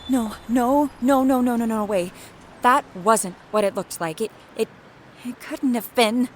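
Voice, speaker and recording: A woman's voice: on the high side, unhurried, a little hiss or hum, lightly acted. An actress playing a frightened, skeptical young woman in an audio drama, stammering in disbelief. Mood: shaken disbelief.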